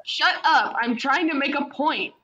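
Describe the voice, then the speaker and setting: Woman acting teasing, caring little sister, high-pitched, radio drama, dull lo-fi recording. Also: a little slow, sharp tone. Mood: reassuring. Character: supportive, teasing younger sister, a new mother. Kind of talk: radio play acting, naturalistic dialogue. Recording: dull, muffled low-fidelity microphone.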